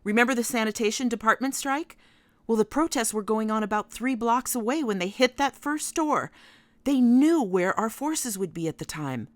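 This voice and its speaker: A woman's voice: a little high, expressive, normal speed, dry recording, natural voice. A woman playing a cordial, direct police chief in a contemporary radio drama. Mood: grave, businesslike.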